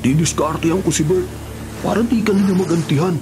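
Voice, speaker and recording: A man's voice: high voice, fairly quick, lightly acted. An actor playing a loyal, scheming male convict who talks in an insistent, conspiratorial way in a radio drama. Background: music.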